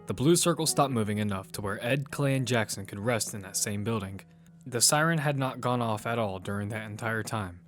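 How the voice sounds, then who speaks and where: A man with a fairly low voice narrates audio fiction from a script. Music plays clearly behind him. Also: a little fast, fairly bright tone.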